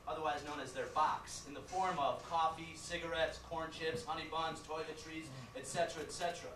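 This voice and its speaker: A man narrates his story in a measured, plain way. His fairly high voice sounds distant and roomy, with noise underneath it. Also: fairly bright tone. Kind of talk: narrated stage monologue.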